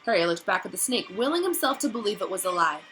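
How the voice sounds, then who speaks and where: A woman narrating a novel aloud in an expressive, dramatic storytelling style that builds suspense. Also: medium pitch, quick pace, a little hiss or hum.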